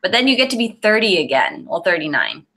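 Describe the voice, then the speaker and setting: A woman in her early thirties, co-hosting a podcast from home over a video call, chatty and relaxed as she tells stories. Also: mid-range voice, quick pace, low-quality recording. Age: 30s. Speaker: podcast co-host. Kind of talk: casual chat. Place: home room with curtains. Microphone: video call webcam.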